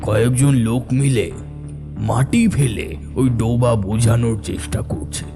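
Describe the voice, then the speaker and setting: A man playing a solemn older villager, reminiscing in measured cartoon storytelling. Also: mid-range voice, fairly even intonation, vocal fry, music in the background.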